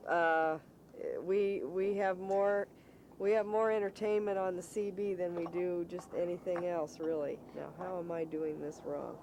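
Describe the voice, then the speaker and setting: A woman's voice: fairly low pitch, fairly even intonation, measured pace, a little hiss or hum. A woman speaking casually in an interview, plain-spoken and matter-of-fact about local life. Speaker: local resident being interviewed. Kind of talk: casual conversation.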